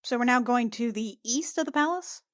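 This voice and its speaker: A woman's voice: fairly high pitch, brisk. A woman chatting casually as a player in a tabletop role-playing game.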